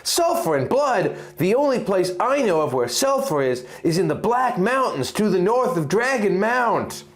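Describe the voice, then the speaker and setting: A man's voice: high-pitched, sing-song, normal speed, pitched above the performer's own voice. A man voicing an earnest, alert half-elf husband, emphatic and concerned, in a fantasy story reading. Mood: urgent, concerned.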